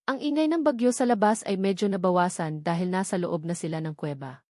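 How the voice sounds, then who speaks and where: A woman's voice: fairly low pitch, brisk, warm tone, no room sound, studio quality. A woman narrating a romance story as a dramatic, scripted audiobook.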